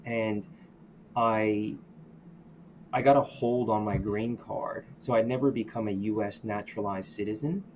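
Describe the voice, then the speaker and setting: A middle-aged man, a podcast guest from the United States, talking candidly about his past in a reflective, halting way, often restarting and saying "you know.". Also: fairly low pitch, steady tone, a little mellow, slight background noise. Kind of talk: candid personal storytelling.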